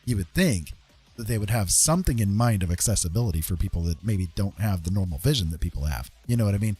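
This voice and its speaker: A man's voice: fairly low pitch, animated, quick pace, mellow, breathy edge. A man livestreaming in a casual, conversational way, explaining things to his viewers. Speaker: streamer.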